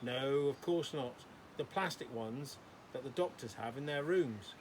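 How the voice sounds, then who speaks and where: A man's voice: medium pitch, a little slow, a little mellow, a little hiss or hum, lightly acted. Man reading as a kindly old hospital porter. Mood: playful. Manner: courteous, addresses children formally.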